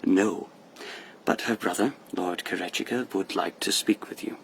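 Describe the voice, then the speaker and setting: An actor playing a reserved, curt court messenger in a radio drama. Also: male voice, sits low, normal speed, gravelly, fairly bright tone, a little hiss or hum. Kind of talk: British radio drama acting.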